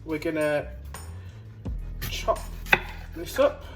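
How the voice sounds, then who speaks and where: A woman's voice: low voice, expressive, extremely slow. A woman presenting a recipe step by step in a playful, theatrical way with comic asides. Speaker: cooking show presenter. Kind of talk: instructional cooking demonstration with comic asides. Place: home kitchen, indoors. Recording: prominent music over kitchen clatter. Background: loud music, cutlery, pots and pans.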